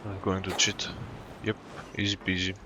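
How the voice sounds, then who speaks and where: A man giving casual gameplay commentary as a streamer, musing out loud and reacting offhandedly. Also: deep voice, completely flat delivery, noisy background. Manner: unhurried musing, casual swearing. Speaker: gaming streamer.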